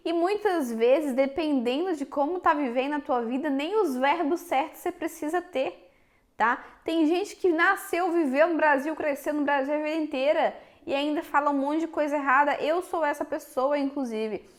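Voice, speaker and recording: A woman's voice: sits high, normal speed. A young Brazilian woman with a Minas Gerais accent, chatting frankly and directly to her viewers in a casual vlog. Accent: Minas Gerais Portuguese. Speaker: vlogger. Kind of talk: casual chat to camera.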